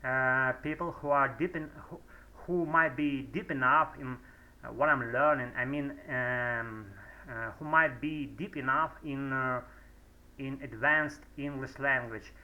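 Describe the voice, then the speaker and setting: A man's voice: mid-range voice, fairly even intonation, unhurried, bright, forceful tone, low-quality recording. A man whose first language is Russian, rambling through an unscripted English monologue at home, hesitant, full of fillers and restarts. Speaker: English learner vlogger. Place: home room, indoors.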